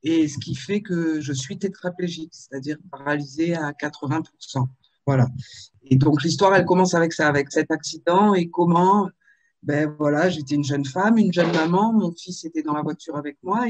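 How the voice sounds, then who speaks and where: A woman's voice: sits low, moderate pace, no room sound. Woman, fifties, from Marseille, hesitant, video call, dry close sound. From Marseille, France. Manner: hesitant, with many fillers and restarts. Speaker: author and interview guest. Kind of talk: personal life story in a casual interview.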